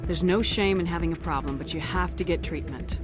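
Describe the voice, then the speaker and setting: An actress playing a principled female military commander in a video game, speaking in a firm, measured, direct way. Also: on the low side, music in the background, natural voice. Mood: firm, concerned. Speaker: player character. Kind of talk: video game dramatic voice acting.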